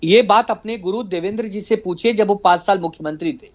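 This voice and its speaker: A man's voice: high-pitched, brisk, a loud crowd. A male party spokesman debating as a remote guest, insistent and exasperated, repeating phrases over a lo-fi link. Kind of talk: heated political debate.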